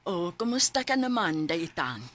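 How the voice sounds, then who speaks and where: An actress playing a friendly older coworker and chatty matchmaker with a Cebuano accent in a radio drama. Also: female voice, fairly low pitch, big pitch swings, normal speed, heavy background noise. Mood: chatty, encouraging. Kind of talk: Filipino radio drama acting.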